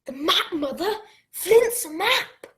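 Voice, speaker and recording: A woman's voice: very high voice, animated, takes it slowly, heavy background noise, exaggerated voice. A woman playing an earnest, anxious young boy who narrates and acts in a radio play. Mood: hushed urgency. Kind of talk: radio play storytelling and acting.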